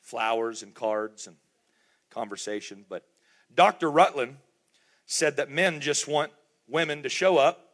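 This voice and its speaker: Middle-aged male pastor, emphatic sermon delivery, bright forceful voice. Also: medium pitch, measured pace, pauses often. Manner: emphatic, repetitive preaching with rhetorical questions.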